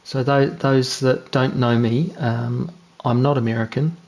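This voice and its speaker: A man's voice: medium pitch, fairly even intonation, a little slow, creaky, a little mellow. A young man with a New Zealand accent giving laid-back, chatty commentary while streaming a racing game, joking at his own mistakes. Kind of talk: casual gameplay commentary.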